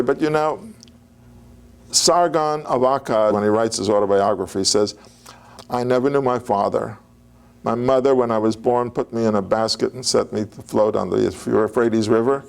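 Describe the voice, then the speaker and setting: A man's voice: medium pitch, normal speed, creaky. An older man giving an informal, digressive lecture on biblical history, talking conversationally with fillers and restarts.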